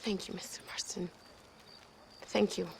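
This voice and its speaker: A woman's voice: fairly low pitch, level tone, unhurried, vocal fry, in a whisper. An actress playing an idealistic young Mexican revolutionary woman in a video game, solemn and impassioned, with a Mexican accent. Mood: solemn pleading. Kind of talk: video game cutscene acting.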